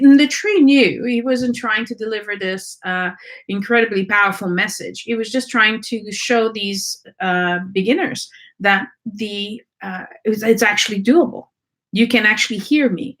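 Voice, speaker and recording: A woman's voice: medium pitch, moderate pace, fairly bright tone. Woman teaching, hesitant. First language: French. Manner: searching, many ums and restarts. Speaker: energy work teacher. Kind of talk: unscripted teaching talk.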